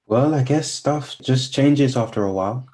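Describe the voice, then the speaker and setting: A man's voice: medium pitch, normal speed, a little mellow, close to an everyday voice. A man playing a polite, reassuring young family friend in a naturalistic radio drama, with understated, soothing replies. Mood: evasive, resigned.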